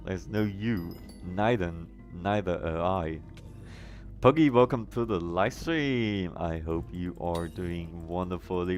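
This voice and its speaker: A man's voice: deep voice, darkish tone. A man whose first language is German, live-streaming a game with casual commentary and chatting to viewers in wordy, oddly formal phrases.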